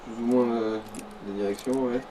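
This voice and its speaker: A man's voice: medium pitch, little pitch movement, normal speed. A man in casual, slangy gameplay chat, giving advice to the player. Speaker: gaming companion giving advice. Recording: noisy, distant mic, game ambience.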